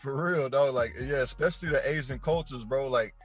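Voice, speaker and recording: A man's voice: medium pitch, brisk, slightly rough, faint music behind. An American man hosting a podcast interview, talking casually in heavy slang, calling his guest "bro" and "dog" and swearing freely. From United States. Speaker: podcast host.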